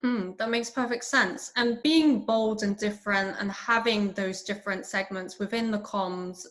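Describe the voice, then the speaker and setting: Measured woman interviewer. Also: medium pitch, a bit bright, rough recording. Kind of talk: interview questions.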